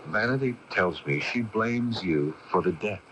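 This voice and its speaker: An actor playing an earnest, probing young man in a 1980s radio drama, intent and a little halting. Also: lowish voice, lively intonation, a bit bright. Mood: coaxing. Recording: noisy 1980s AM radio broadcast.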